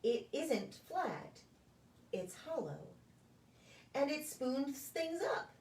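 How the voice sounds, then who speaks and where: A woman reading a children's picture book aloud in a deliberate, sing-song storytelling way for preschoolers. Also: sits high, big pitch swings, darkish tone, a little hiss or hum. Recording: echoey room, distant microphone.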